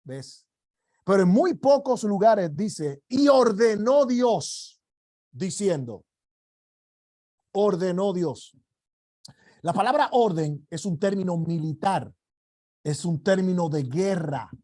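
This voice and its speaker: Slow, high-voiced preacher. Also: male voice, expressive. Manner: slow, emphatic preaching with rising shouted declarations. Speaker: apostle teaching a ministry class. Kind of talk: sermon.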